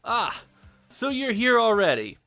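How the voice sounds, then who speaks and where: Man acting teasing carefree shopkeeper, sharp bright tone, animated high pitch, audio drama. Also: measured pace, soft background music, exaggerated voice. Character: breezy, teasing male shopkeeper, unconcerned and sly.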